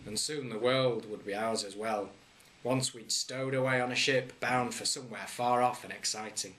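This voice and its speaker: A man, a head teacher, reading a children's story aloud with wry humour and lively swings in pitch. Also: moderate pace, slight background noise, low-quality recording.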